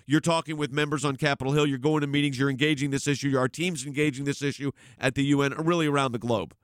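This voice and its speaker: A man's voice: mid-range voice, steady tone, fast, no echo, clean studio sound. A man hosting a talk radio show, emphatic and rambling with frequent restarts. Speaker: radio host.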